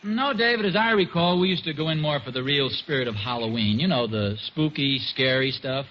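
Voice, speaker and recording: A man's voice: medium pitch, lively intonation, fairly quick, natural voice. An American man playing a genial, slightly boastful suburban father in a 1940s radio sitcom. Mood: wistful, storytelling. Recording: muffled, noisy 1940s radio broadcast.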